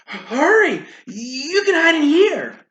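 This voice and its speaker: Storybook giantess wife, acted by a woman: bossy, kind underneath, animated pitch, echoey recording. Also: takes it slowly, muffled recording, exaggerated voice. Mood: urgent, panicky. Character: big bossy giantess wife, flustered but secretly kind. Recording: roomy echo, faint noise.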